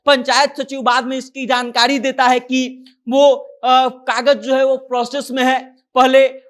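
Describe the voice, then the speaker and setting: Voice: high voice, a bit bright. A news presenter giving informal commentary, retelling a story conversationally and voicing quoted dialogue.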